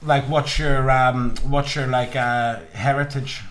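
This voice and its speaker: A man in his thirties from Ireland hosts a casual podcast chat at a middling pitch. He asks eager, curious questions and jumps in with quick reactions. He is recorded on a desk mic in a small studio. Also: fairly even intonation, a bit bright. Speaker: podcast host. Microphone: desk mic on boom arm.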